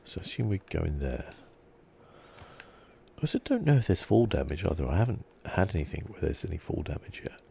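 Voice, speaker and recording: A soft-spoken man giving unhurried, understated ASMR commentary on a video game, often slipping into a whisper. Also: deep voice, very animated, creaky, a little hiss or hum. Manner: unhurried, understated musing to himself. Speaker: gaming channel host.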